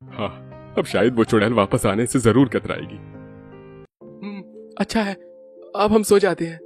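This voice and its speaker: A man's voice: medium pitch, big pitch swings, measured pace, darkish tone, faint music behind. A man voicing a stubborn, easygoing father-in-law in a Hindi cartoon story. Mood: relieved.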